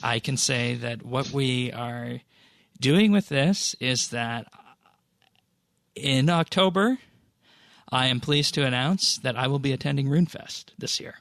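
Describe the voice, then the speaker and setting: A man hosting a podcast, reading out announcements and updates in a measured, businesslike way. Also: medium pitch, vocal fry, fairly bright tone, no room sound, studio quality. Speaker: podcast host.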